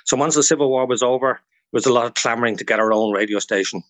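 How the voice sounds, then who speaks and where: A man's voice: medium pitch, quick pace, a bit bright, dry recording. Irish man explaining history, dry close sound. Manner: informative, storytelling. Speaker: guest expert, radio historian. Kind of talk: informal explanatory interview talk.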